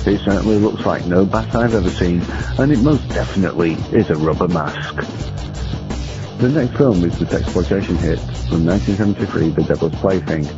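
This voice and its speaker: A man's voice: low voice, fairly quick. A man narrating a scripted film review with dry, wry, formal phrasing. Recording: muffled lo-fi recording. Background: guitar music.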